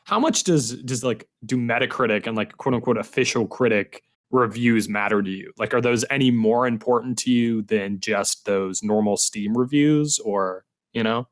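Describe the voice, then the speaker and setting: A man speaks as a podcast host in a casual interview. His voice sits at an ordinary middle pitch, and he chats conversationally with his guest. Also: moderate pace, hardly any pauses.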